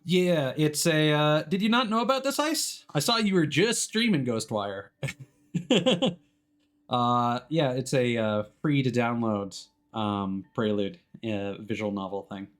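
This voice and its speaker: A man's voice: medium pitch, lively intonation, unhurried. A man streaming a game, switching between reading narration aloud and casual commentary.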